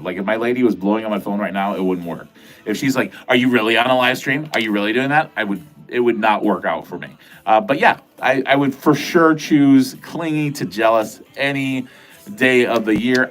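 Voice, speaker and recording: Rambling middle-aged man, chatty livestreamer. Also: medium pitch. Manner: rambling, filler-heavy, crude jokey talk. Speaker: livestream host. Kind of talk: casual livestream chat answering viewer comments. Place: at home.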